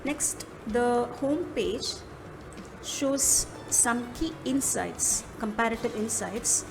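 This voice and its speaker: A woman with an Indian accent narrating an instructional software demo, explaining step by step in a measured manner. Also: on the high side, animated, gravelly, background noise. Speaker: product demo presenter. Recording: music behind the voice. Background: background music.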